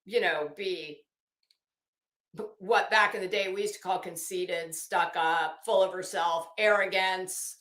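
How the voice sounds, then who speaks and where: A middle-aged woman giving casual live commentary in a halting, deliberate, emphatic way. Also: fairly low pitch, fairly even intonation, fairly bright tone. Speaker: YouTube commentator and host. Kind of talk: casual live commentary with document read-outs. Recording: echoey room.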